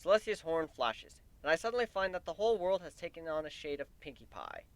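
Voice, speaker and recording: A man giving an expressive amateur dramatic reading of a fantasy story, in a storytelling delivery. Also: on the high side, brisk, dry recording. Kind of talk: dramatic reading of fan fiction.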